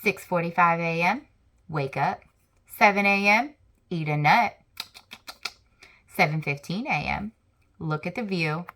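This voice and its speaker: Slow, expressive woman reading aloud to kids. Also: fairly low pitch. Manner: expressive, lively reading with asides to young listeners. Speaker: children's book reader. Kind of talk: children's picture-book read-aloud.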